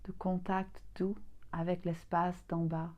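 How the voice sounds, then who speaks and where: A woman leading a guided yoga nidra relaxation as a teacher, soothing and gently inviting, leaving long pauses between phrases. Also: on the low side, level tone, muffled recording.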